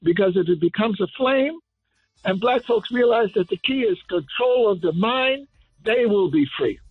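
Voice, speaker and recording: An older man giving an impassioned radio lecture as a guest, speaking emphatically and building to forceful declarations. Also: high voice, expressive, normal speed, slightly rough, dry recording. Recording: tinny phone line.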